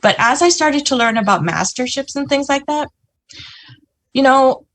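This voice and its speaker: A woman's voice: high voice, animated, normal speed, a bit bright. A woman giving a chatty motivational business talk on a video call, often asking "right?" and "you know". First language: English. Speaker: business presenter.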